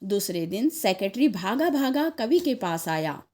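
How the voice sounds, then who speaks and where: A woman narrating a scripted story as an expressive storyteller. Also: mid-range voice, a little slow.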